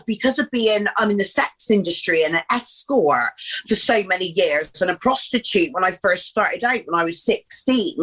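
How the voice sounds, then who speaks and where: A woman's voice: on the high side, fairly bright tone, rough recording. Blunt, swearing woman in her thirties. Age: 30s. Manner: frank, blunt, swears freely, calls people babe. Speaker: podcast guest. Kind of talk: candid casual conversation.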